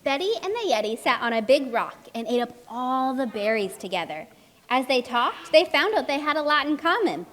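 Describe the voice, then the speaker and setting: A woman's voice: sits high, big pitch swings, normal speed, a bit bright. A woman narrating a scripted children's puppet show as storyteller, explaining things and addressing the characters. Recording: long hall reverb.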